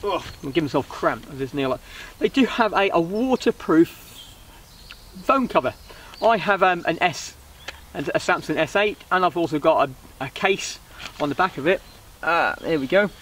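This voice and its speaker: A man's voice: a little high, animated, normal speed, a bit bright. A man in his thirties giving a casual, informal gear review to camera, rambling a little and hesitant. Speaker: vlogger reviewing budget camping gear. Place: outdoors on a wooden riverside deck. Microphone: camera a couple of metres away. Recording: outdoor recording, distant mic, background noise.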